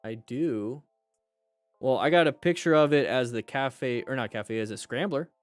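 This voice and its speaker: Male livestream host, mid-range voice, blunt and joking, animated casual chat with viewers, music underneath. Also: medium pitch, moderate pace, dry recording. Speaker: firefighter.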